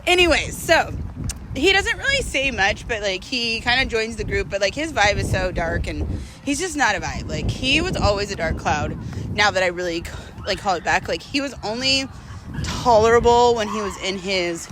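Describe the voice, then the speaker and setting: A woman's voice: medium pitch, animated, moderate pace, background noise. A young woman telling a personal story in a casual, chatty vlog style, slangy and full of informal asides.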